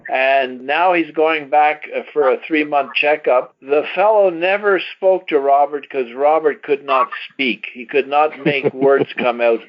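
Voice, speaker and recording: A man hosting a testimonial call in an informal, folksy, exhorting way, like someone making a plain-spoken pitch to listeners. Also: measured pace, few pauses. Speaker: host of a testimonial conference call. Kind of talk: informal promotional talk. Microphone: telephone. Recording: dull lo-fi conference phone line.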